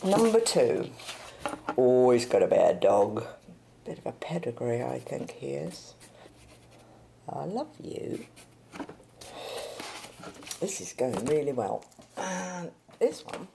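A low-pitched woman murmurs to herself in short fragments, as if thinking aloud in a solo audio piece. Her delivery is very slow, with a creaky edge. The recording carries clear noise. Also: very animated, warm tone. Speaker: performance artist in an audio playlet. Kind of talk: spontaneous-sounding solo monologue, thinking aloud. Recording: clearly noisy recording with clicks.

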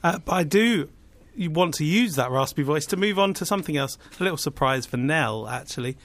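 Raspy-voiced man, podcast co-host. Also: on the high side, lively intonation, fairly quick. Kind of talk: casual chat, reading listener comments.

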